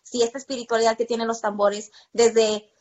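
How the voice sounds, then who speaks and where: Female podcast guest, Mexican accent, fairly high voice, emphatic conversational storyteller, slightly muffled sound. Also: little pitch movement, brisk, darkish tone. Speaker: interview guest, percussion teacher.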